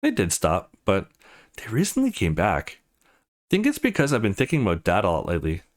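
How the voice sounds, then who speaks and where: A man's voice: lowish voice, huge pitch swings, brisk. A man voicing an awkward, guarded eighteen-year-old male student from a visual novel, earnest and a little hesitant.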